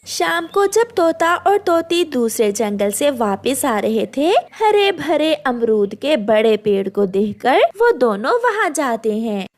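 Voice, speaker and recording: A woman storyteller reading scripted narration for an animated children's tale. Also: sits high, slow pace.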